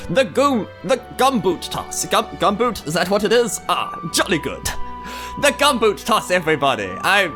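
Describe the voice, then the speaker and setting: Casting: man as self-important muddled village hero for tabletop play, higher male voice, very lively pitch, music behind. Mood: befuddled, jolly. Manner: pompous, halting, grandly muddled. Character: pompous, bumbling old-fashioned hero, champion of village games. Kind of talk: ceremonial speech in a tabletop roleplay.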